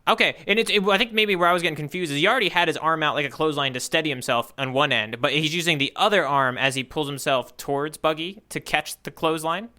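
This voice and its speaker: A fast-talking man with a bright, crisp-edged voice chats casually as a podcast co-host. His pitch sits a little high, and he thinks aloud, restating things to check his understanding. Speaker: podcast co-host playing the learner. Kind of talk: casual chat.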